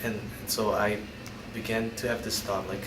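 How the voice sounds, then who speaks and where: A young man from Indonesia talks through his life story in a hesitant, searching way, stopping and starting as he finds his words. His voice sits a little low and reaches the mic from some distance in an echoey room. A steady background hum runs under everything he says. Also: moderate pace. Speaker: interviewee. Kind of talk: personal storytelling interview. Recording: roomy, distant mic, ambient noise.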